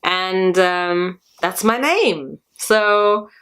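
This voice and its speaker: A woman's voice: mid-range voice, extremely slow, fairly bright tone, clean tone. Woman who grew up in germany, tamil first language, rambly casual diary talk, lots of ums. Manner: rambling, chatty, many fillers. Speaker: channel host.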